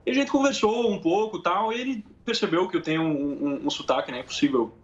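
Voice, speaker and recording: A Brazilian man giving casual, colloquial interview answers, haltingly, with frequent little tag questions. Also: high voice, muffled recording. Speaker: interview guest, musician.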